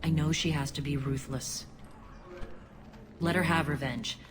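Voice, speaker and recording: A principled female alien soldier turned militia leader in a video game. Her voice sits low, with careful, earnest phrasing. Clear ambient noise runs behind her. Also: steady tone. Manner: earnest, measured, articulate. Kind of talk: video game cutscene acting.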